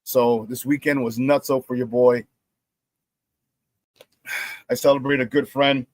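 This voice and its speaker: Hoarse middle-aged man, dry close sound. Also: medium pitch, unhurried, no echo. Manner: animated storyteller who repeats phrases and laughs at his own stories. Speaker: sports talk show host. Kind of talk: casual sports talk monologue.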